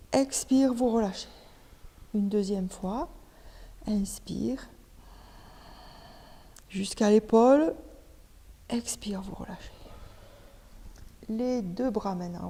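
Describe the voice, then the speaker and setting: Very mellow woman guiding relaxation, reverberant room. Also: medium pitch, creaky. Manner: step-by-step instructing with pauses. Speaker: yoga teacher leading guided relaxation. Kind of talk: guided yoga nidra instruction. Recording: long reverberant hall echo.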